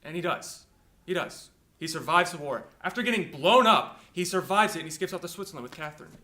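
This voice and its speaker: Young man acting agitated grown son, high bright voice, indignant, emphatic, repetitive, dramatic monologue. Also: lively intonation, a little fast. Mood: incredulous. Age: young adult. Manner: indignant, emphatic, repeats himself. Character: agitated, exasperated grown son ranting to parents. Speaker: student actor. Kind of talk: performed film monologue.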